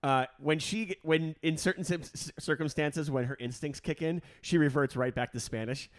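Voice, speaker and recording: A man's voice: fairly high pitch, moderate pace. A man co-hosting a podcast, upbeat and laughing often, stumbling over and repeating his words. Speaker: podcast co-host. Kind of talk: casual podcast chat.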